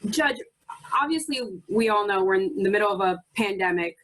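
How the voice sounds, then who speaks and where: A woman's voice: mid-range voice, expressive, fairly quick, a bit bright, a little hiss or hum, poor audio. A woman interviewer from a bar association asking polite, encouraging questions over a video call. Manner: polite, encouraging, repeats praise.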